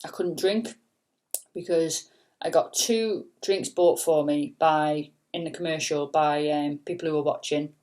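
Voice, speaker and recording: A woman and singer with a soft, low voice, rambling casually in a late-night vlog with lots of fillers and the odd swear word. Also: steady tone, a little slow, slight background noise. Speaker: singer and vlogger.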